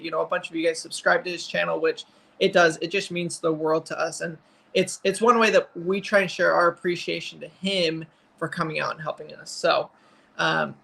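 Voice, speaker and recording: Bright-voiced, creaky young man chatting. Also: fairly high pitch. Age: 20s. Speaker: family vlog host. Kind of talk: casual livestream chat.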